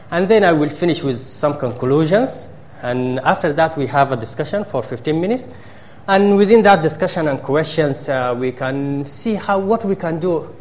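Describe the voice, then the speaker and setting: A man's voice: mid-range voice, a little hiss or hum. A middle-aged man lecturing students in a lecture theater, speaking English as his second language with frequent hesitations and restarts. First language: not English; second language. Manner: halting, many "uh" fillers and restarts. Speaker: university lecturer.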